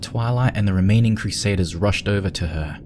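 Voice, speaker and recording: A man's voice: lowish voice, brisk, very noisy. A man working as narrator, reading a scripted horror story dramatically.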